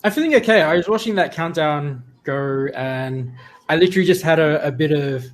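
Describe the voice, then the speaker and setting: A man from Tonga co-hosting an online gathering over a video call, speaking in a warm, heartfelt way and sounding a little emotional. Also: on the high side, fairly quick. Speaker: co-host of an online climate gathering. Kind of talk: live online event hosting.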